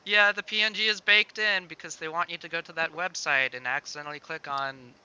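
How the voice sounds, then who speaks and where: A man's voice: a little high, steady tone, brisk, sharp tone, clear, resonant tone. A man co-hosting, chatting casually and walking through a project without a script. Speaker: co-host presenting a game-making project. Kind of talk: casual unscripted chat and walkthrough.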